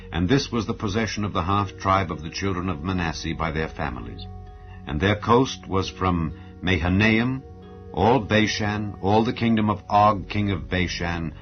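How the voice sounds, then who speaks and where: A man narrating the Bible as a solemn scripted reading, with measured, formal, declamatory phrasing. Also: low voice. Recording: dull lo-fi audio under music. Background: background music.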